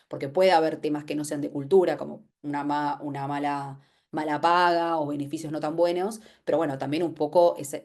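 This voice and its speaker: A woman's voice: deep voice, warm tone. A woman with an Argentine accent gives an informal explanatory webinar talk, conversational, posing questions and voicing imagined remarks. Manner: conversational, poses rhetorical questions, acts out imagined quotes. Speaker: webinar presenter.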